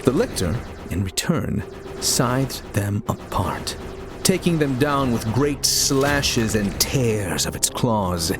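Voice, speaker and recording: A man giving a dramatic, deliberate and suspenseful narration of a scripted audio story, with theatrical phrasing. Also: medium pitch, sing-song. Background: music.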